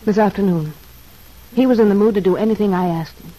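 A woman's voice: medium pitch, fast, warm tone, natural voice, low-quality recording. An actress playing a scheming, short-tempered young woman in prison, with a curt, defensive manner, in an old-time radio drama. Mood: desperate.

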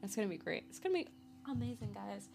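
A woman's voice: mid-range voice, very animated, fast, gravelly, soft background music. A woman in her early twenties hosting a casual solo podcast, rambling and upbeat, laughing often as she talks. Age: 20s. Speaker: podcast host. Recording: faint singing bowls behind. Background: soft singing bowls.

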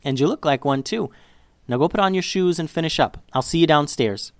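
A man voicing a kind, gently encouraging mother in a children's storybook. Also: fairly high pitch, fairly quick, dry recording, voice pushed up, close to an everyday voice. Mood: affectionate.

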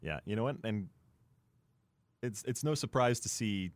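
A man's voice: fairly low pitch, expressive, unhurried, dry recording. A man co-hosting sports talk, giving conversational analysis in a hesitant, filler-heavy way. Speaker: sports show co-host.

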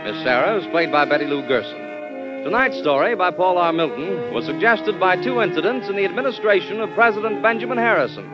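A man's voice: high-pitched, lively intonation, a little fast. A man with an American accent announcing in the scripted, formal style of 1940s radio. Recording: dull old radio broadcast recording. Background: orchestral music.

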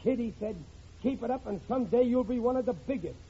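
A man's voice: very high voice, quick pace, warm tone, slightly rough. An actor playing a boastful, bumbling middle-aged father in an old radio sitcom, blustering and stammering when cornered. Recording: muffled, hissy vintage radio recording.

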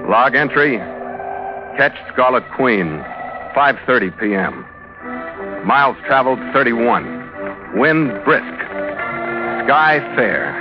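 An actor playing a tough, wry American sea captain who narrates his adventures in a clipped, hard-boiled 1940s radio style. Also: mid-range voice. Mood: formal, measured. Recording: muffled old radio broadcast, loud music.

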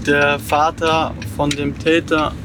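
A man's voice: on the high side, monotone, takes it slowly, background noise. A young man from an Afghan family speaking bluntly and emotionally in a casual conversation while riding in a car. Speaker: interviewee. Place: in a car at night.

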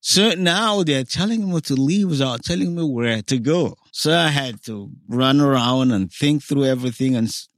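A man's voice: medium pitch, animated, moderate pace, hardly any pauses. A man in his forties from South Sudan, a guest in an interview, telling his own story earnestly and acting out what others said to him. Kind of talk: personal testimony in interview.

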